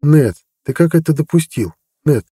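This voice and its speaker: A man playing a sly, learned prince, higher than his own voice, teasing and ironic. Dark tone, dry and close. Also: animated, moderate pace, husky, dry recording. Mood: reproachful.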